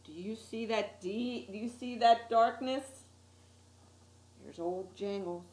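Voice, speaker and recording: Female children's story reader, mid-range voice, expressive and deliberate, recorded in a reverberant room. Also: medium pitch. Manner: dramatic, deliberate storytelling with emphasised words. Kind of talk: expressive children's picture book reading. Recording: roomy, echoey sound.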